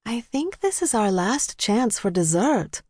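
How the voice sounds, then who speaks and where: A woman voicing a polite, guarded Mexican woman detective who speaks brightly and evasively in an audiobook. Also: a little high, very animated, a little slow, slightly rough, no echo, studio quality, pitched above the performer's own voice, exaggerated voice. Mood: politely guarded.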